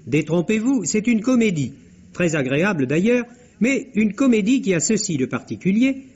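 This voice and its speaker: A man's voice: high-pitched, very animated, normal speed, darkish tone, breathy edge. A man delivering a scripted film review as a 1950s radio critic, explaining with emphatic phrasing. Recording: dull lo-fi old radio recording.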